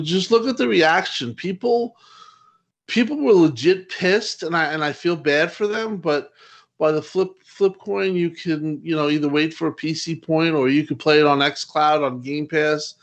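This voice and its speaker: A man's voice: on the high side, measured pace, highs cut off. A man talking on a gaming podcast panel, rambling with lots of "you know" fillers and restarts. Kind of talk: casual chat.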